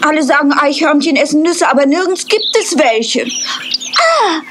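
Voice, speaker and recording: A woman's voice: high voice, expressive, a little slow, heavy background noise. A woman voicing a young, naive male squirrel who is always hungry, in a cartoon dub. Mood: hungry, eager. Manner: naive, eager. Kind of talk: 1980s German cartoon dub. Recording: children's cartoon dub with loud music behind.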